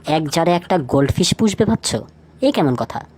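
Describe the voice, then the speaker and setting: A woman with a slightly low voice presents a light trivia feature from a script. She addresses the listener directly, posing questions as she narrates. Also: very animated, normal speed, a little mellow, breathy edge. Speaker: lead narrator of a feature video.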